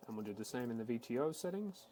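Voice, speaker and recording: A man giving a casual, matter-of-fact, step-by-step software walkthrough, speaking unscripted like a tech support instructor. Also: mid-range voice, lively intonation, fast talker, slight background noise. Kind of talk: screen-recorded software tutorial, unscripted walkthrough.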